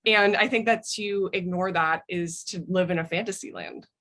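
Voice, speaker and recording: Earnest American woman, slightly low voice. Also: moderate pace, a bit bright. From United States. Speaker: guest, author. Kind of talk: thoughtful interview answers.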